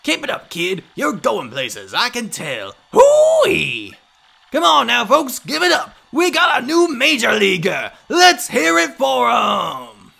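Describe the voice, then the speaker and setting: A man voicing a brash, loud male fight announcer in a game fan dub, calling out fighters with big hype. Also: wildly swooping pitch, slow, vocal fry, full character voice. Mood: hyping. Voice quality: booming hype.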